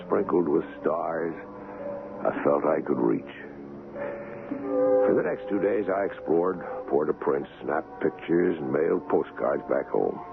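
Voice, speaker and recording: An actor playing a courteous gentleman doctor in a 1970s radio drama, speaking politely in measured phrases. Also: very deep voice, animated, creaky, over music, exaggerated voice. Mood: reflective. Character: courteous, gentlemanly doctor, a curious ship's passenger. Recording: tinny old radio broadcast.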